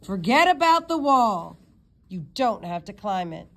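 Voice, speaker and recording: Lady reading as a spy boss guy in a kids book, measured, coaching, secretive. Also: medium pitch, big pitch swings, fairly bright tone, exaggerated voice. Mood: reassuring. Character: secretive, instructing male spymaster of young agents.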